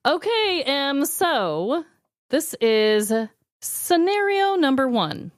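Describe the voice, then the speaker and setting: A woman podcast host chatting casually and running a game in an animated way. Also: high-pitched, extremely slow, no echo, clean studio sound. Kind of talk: casual banter and game hosting.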